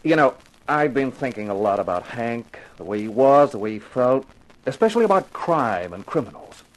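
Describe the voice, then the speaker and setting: A man's voice: medium pitch, sing-song, moderate pace, natural voice, rough recording. Man playing tough wisecracking detective, old 50s radio crime show, voice swings around a lot. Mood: knowing, accusing. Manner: flippant, slangy, wisecracking. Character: cocky wisecracking male private detective, tough and sardonic. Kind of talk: 1950s radio crime drama acting.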